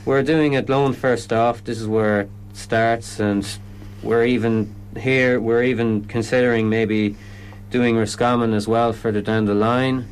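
A man's voice: mid-range voice, fairly even intonation, a little slow, a little hiss or hum, low-quality recording. An Irish man explaining his comedy event in a radio interview, often stopping and restarting sentences. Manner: hesitant, lots of restarts.

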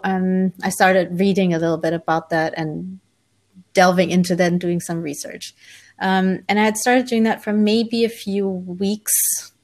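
A woman in her forties, raised in Germany, telling her story in an interview as a spiritual author, relaxed and thoughtful with frequent pauses. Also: fairly low pitch. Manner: relaxed, thoughtful, many ums, light laughs.

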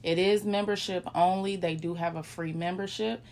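A thirty-year-old woman with a slightly low voice, chatting easily with her co-host. She talks in a relaxed, friendly way, even when she reads out a local business spot. Faint noise sits in the background. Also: normal speed. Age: 30s. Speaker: podcast co-host. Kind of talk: casual chat.

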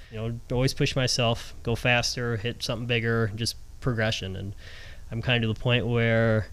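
A man chats as a podcast co-host in a smooth, clear voice. He is casual and conversational, often dropping in "you know" as he goes. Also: mid-range voice.

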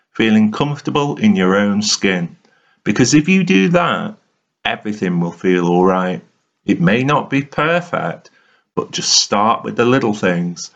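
A man reads a motivational monologue in a fairly low voice. His delivery is very slow and deliberate, with earnest, emphatic phrasing. The recording is slightly dull and lo-fi. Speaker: reader of a written monologue. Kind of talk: dramatic motivational spoken-word reading.

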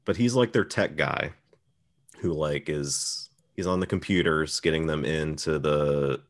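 A man's voice: a bass voice, measured pace. A man hosting a podcast, talking through films in a casual, conversational way. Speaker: podcast host. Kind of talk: casual film discussion.

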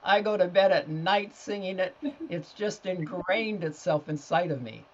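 A man's voice: sits high, measured pace, a little hiss or hum. A man speaking as a podcast guest, telling things in a casual, anecdotal way on slightly lo-fi audio. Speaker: podcast guest, children's author. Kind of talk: casual anecdotal interview talk.

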